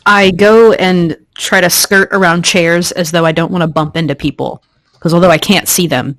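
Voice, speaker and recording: A woman playing in a tabletop role-playing game, speaking casually and a little haltingly, in and out of character. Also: fairly low pitch, fairly even intonation, fairly bright tone.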